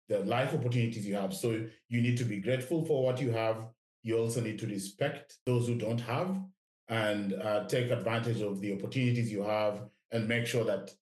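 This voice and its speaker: A middle-aged man with a Kenyan accent speaks as a podcast guest in a fairly low voice. He talks reflectively, and the recording is roomy and echoing. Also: a little mellow. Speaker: podcast guest, investment director. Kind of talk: reflective interview talk.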